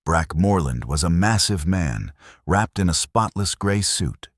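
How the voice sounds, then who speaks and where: A man's voice: deep voice, animated, a little slow, dry recording, studio quality. A man narrating a dramatic scripted Western story.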